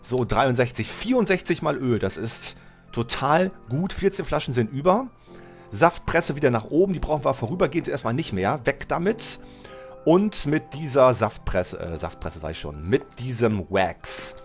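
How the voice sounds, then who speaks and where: Middle-aged guy with a cold, chatty lets play commentary, thinking out loud, headset mic, soft music under it. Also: medium pitch, big pitch swings, normal speed. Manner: chatty, thinks aloud, self-correcting, addresses viewer. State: has a cold, voice lower than usual. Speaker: Let's Play host. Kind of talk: casual gameplay commentary. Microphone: headset mic at desk, webcam.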